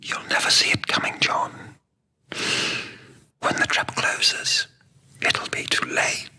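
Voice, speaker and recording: An actor playing a menacing villain in a TV science fiction drama, taunting in a whisper. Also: male voice, takes it slowly, bright, forceful tone. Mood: sinister taunting.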